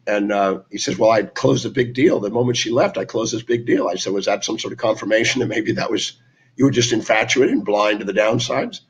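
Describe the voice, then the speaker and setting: Hoarse male speaker, husky, recounting client stories in a motivational talk. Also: on the low side, poor audio. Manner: storytelling, quoting clients in dialogue. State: hoarse, raspy today. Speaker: speaker on his live stream.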